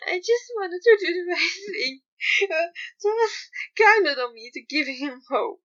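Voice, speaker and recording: A woman's voice: extremely high pitch, a bit bright, dull audio, pitched above the performer's own voice. A woman voicing a distraught, proud young man who is crying over his failure, in a story reading. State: crying, voice breaking.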